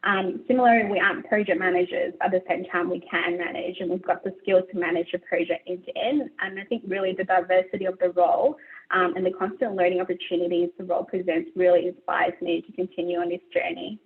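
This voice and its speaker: A woman's voice: fairly low pitch, steady tone, quick pace. A young woman, a webinar guest, answering in a chatty, reflective way with lots of "you know", heard over a video call. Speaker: webinar guest, category specialist. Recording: tinny phone-like video call audio.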